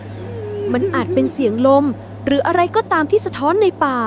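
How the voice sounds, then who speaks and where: A woman's voice: very high voice, lively intonation, moderate pace, noisy background, voice pushed up. A woman voicing a determined, confident young hiker with a composed, assured manner in a story. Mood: calm, reassuring.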